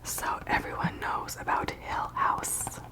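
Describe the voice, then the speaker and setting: Slow whispering woman, breathy ASMR. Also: poor audio. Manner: slow, gentle, deliberate with long pauses. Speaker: ASMR channel host. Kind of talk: soft whispered ASMR, chatting and reading aloud.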